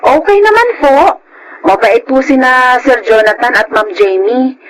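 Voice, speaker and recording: Very high-voiced, meek Filipino housemaid. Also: female voice, sing-song, measured pace, rough recording. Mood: polite. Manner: polite, deferential, earnest. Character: meek, honest, earnest young female housemaid. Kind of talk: Filipino radio drama acting.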